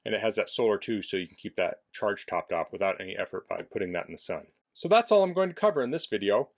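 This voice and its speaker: A man's voice: on the low side, animated, fast talker. A man casually demonstrating a product indoors in a basement, explaining things in a plainspoken way as a reviewer.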